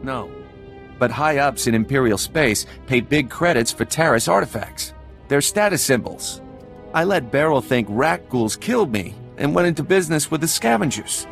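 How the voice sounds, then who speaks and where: A man playing a sly, greedy smuggler and forger in a video game, boastful and scheming, with a creaky voice. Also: medium pitch, expressive, normal speed, fairly bright tone, faint music behind. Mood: scornful boasting. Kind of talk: video game character acting.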